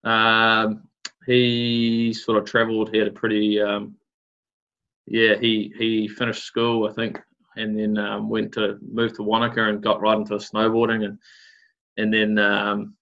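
A man's voice: lowish voice, completely flat delivery, measured pace, vocal fry, pushed and bright, lo-fi sound. A man from New Zealand with a New Zealand accent, chatting casually as an interview guest in a laid-back, rambling way full of fillers and slang. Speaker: guest, surf business founder.

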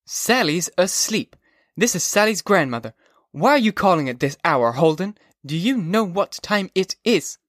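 A man's voice: high voice, expressive, moderate pace, a bit bright, no room sound, clean studio sound, pitched above the performer's own voice. A man reading aloud, voicing a stern elderly grandmother woken at night who speaks in cold, clipped phrases.

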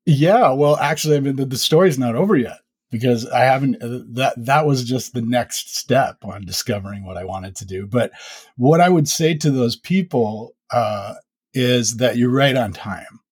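A man's voice: mid-range voice, moderate pace, darkish tone, slightly rough. A man in his fifties, a podcaster being interviewed, talking reflectively and rambling with many fillers. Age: 50s. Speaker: podcast host and guest. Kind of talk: casual interview conversation.

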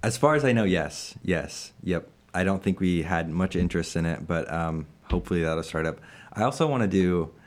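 Man, 20s, very low pitch, from Illinois; halting casual interviewee who laughs easily. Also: animated. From Illinois, USA. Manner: halting, many fillers and restarts, laughs often. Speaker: interviewed staff member.

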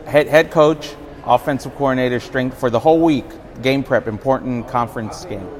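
A man from San Antonio who hosts a podcast, reading his questions briskly and affirming answers with quick yeahs. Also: medium pitch, darkish tone, a little hiss or hum. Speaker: podcast host.

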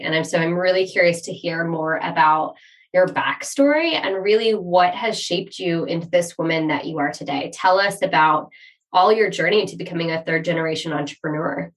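A woman's voice: on the low side, normal speed, distant mic, low-quality recording. A woman hosting a podcast, introducing her guest in an upbeat, effusive way. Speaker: podcast host.

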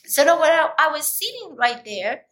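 A woman with a slightly raspy voice giving an emphatic, deliberate motivational talk, as a coach speaking directly to viewers. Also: high-pitched, sing-song, a bit bright.

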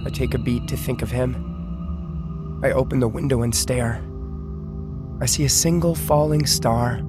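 An actor playing a shaken, brooding husband who lives through a Martian invasion, in audio theatre. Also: male voice, medium pitch, fairly even intonation, measured pace, over music, lightly acted. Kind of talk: dramatic audio theatre narration and dialogue.

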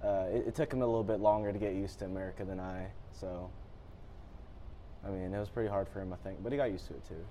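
A man's voice: sits low, steady tone, fast talker, dark tone, a little hiss or hum. A teenage boy born in Japan, answering interview questions politely and often saying "yes, sir". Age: teens. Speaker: student athlete guest.

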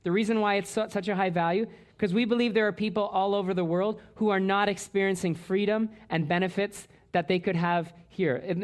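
Earnest male pastor preaching. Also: sits high. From Canada. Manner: earnest, repeats words when restarting. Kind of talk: sermon. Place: church.

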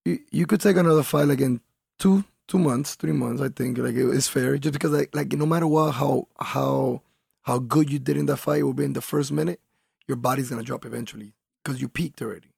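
A man's voice: mid-range voice, fairly quick, creaky, a little mellow, husky, dry recording, clean studio sound. A man in his thirties from Colombia, a fighter talking in a laid-back, rambling way in an interview. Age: 30s. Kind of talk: casual interview talk.

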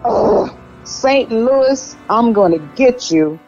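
A woman's voice: fairly high pitch, lively intonation, slow, mellow. A woman playing a sassy, judgmental young sister in an audio drama. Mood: indignant. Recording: slightly dull audio, music underneath.

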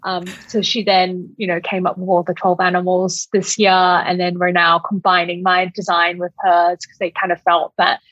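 Aussie woman podcast guest, kinda husky and low, chatty, echoey muffled audio. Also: monotone, moderate pace, hardly any pauses, fairly bright tone. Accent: Australian. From Australia. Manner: chatty, frequent "like" and "you know". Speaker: podcast guest, quilt designer. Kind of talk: casual interview chat.

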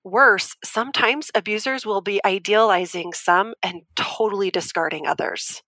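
A woman's voice: mid-range voice, moderate pace, pushed and bright, no echo. An actress playing an earnest, firm woman abuse survivor who explains patiently and articulately in an audio drama debate. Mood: earnest, measured. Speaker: debate guest.

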